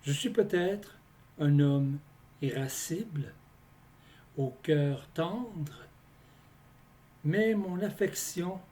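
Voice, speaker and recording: A man reciting his poems in a scripted, deliberate delivery, phrase by phrase with pauses between them. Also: on the high side, darkish tone. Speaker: poet reading own poems. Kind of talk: slow scripted poetry recitation.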